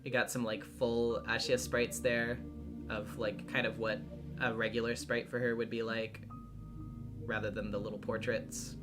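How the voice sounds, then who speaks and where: A man hosting a casual livestream, talking in a halting way and often trailing off mid-thought. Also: medium pitch, level tone. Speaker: streamer and voice actor hosting a visual novel readthrough. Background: background music.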